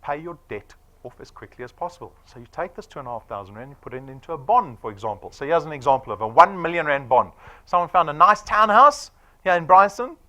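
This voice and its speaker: A man's voice: a little high, very animated, quick pace, bright, forceful tone. A man of forty with a South African accent, giving a punchy sales presentation to an audience and firing off rhetorical questions. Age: 40s.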